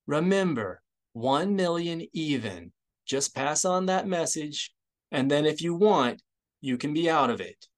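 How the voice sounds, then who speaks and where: Brash, wry woman deal-maker for an audiobook, breezy and shrewd, higher-voiced played by a male reader. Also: expressive, unhurried. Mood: confident. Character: brash, shrewd woman hustler striking a hard bargain.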